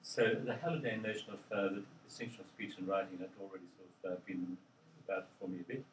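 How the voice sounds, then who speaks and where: An older man, a linguist, answering interview questions in a halting, thoughtful way with many pauses and ums. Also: sits low, little pitch movement, slight background noise. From Australia. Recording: roomy, distant mic with faint ambience.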